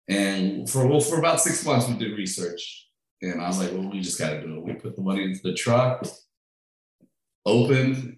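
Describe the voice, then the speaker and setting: A deep-voiced man from Peru talks about his cooking in a loose, conversational way, often doubling back on his words. His voice rings with heavy echo from the room around him. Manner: relaxed, chatty, with frequent restarts and fillers. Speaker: food truck owner and chef. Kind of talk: interview answers. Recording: very echoey room.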